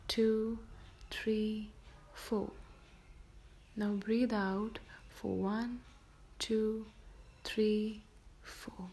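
A woman softly whispering a guided sleep meditation for children, slow and gentle. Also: medium pitch. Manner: soft whispered delivery.

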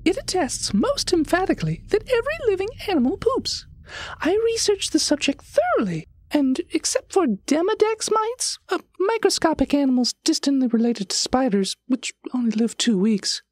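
A woman's voice: sits high, sing-song, moderate pace, a little mellow, no echo. A woman voicing a bookish, inquisitive little girl in an audio story, with formal, pedantic, articulate speech. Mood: pedantic, curious. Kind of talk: acted audio story dialogue.

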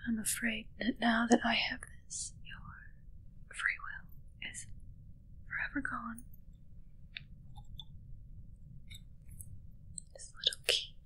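A woman playing a possessive, commanding witch in a whispered ASMR roleplay, softly taunting and close to the microphone. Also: on the high side, huge pitch swings, measured pace, exaggerated voice, poor audio. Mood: sinister, coaxing. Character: possessive, commanding young female witch addressing her familiar.

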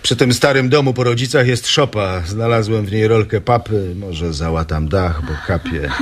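An actor in a radio comedy playing a modest, broke poet with a hesitant, deadpan, self-deprecating manner. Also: male voice, on the low side, normal speed, natural voice. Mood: glum, resigned. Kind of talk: radio comedy drama acting.

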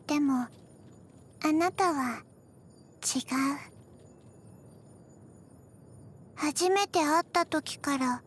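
A tiny zombie girl from a video game. Her voice is high and childlike, and she speaks very slowly in soft, halting pieces. She sounds emotionless yet gentle, as if memory comes to her a bit at a time. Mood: quietly tender. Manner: halting, deadpan, soft-spoken with frequent pauses. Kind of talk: scripted video game character voice lines.

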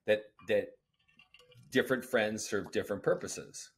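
A middle-aged man co-hosting a casual podcast, talking in an easygoing, relaxed way. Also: on the low side, a little slow, husky. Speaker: podcast co-host. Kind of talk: casual chat. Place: home studio. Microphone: studio mic on boom arm.

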